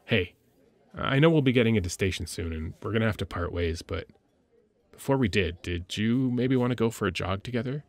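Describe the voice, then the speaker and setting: A man acts out a military medic lieutenant from a sci-fi audio drama, deep-voiced with a gravelly edge. He talks in a casual, teasing, slightly hesitant way, caring underneath the gruffness. The sound is dry and intimate. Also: animated, brisk, no room sound. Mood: teasing, fond. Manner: informal, hesitant, teasing, conversational. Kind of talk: intimate ASMR audio roleplay acting.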